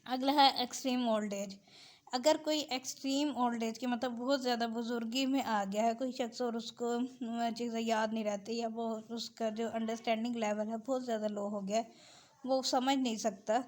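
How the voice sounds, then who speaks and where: Female lecturer, Pakistani accent, slightly high pitch, step-by-step teaching delivery with code-switched English terms. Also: fairly even intonation, normal speed, darkish tone. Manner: mixes Urdu with English terms. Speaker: law lecturer. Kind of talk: explanatory lesson, reading out and explaining.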